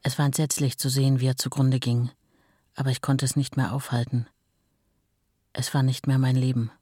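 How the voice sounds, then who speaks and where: Plainspoken sorrowful ex-wife, very dry sound. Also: female voice, rumbling low, mellow, breathy edge, no room sound. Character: left-behind older ex-wife recalling her marriage, sorrowful, plainspoken. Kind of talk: documentary-style radio play testimony.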